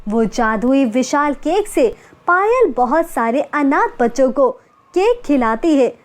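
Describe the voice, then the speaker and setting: A woman tells a children's moral story in a very high, simple voice. Her narration is steady and plain. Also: slow pace.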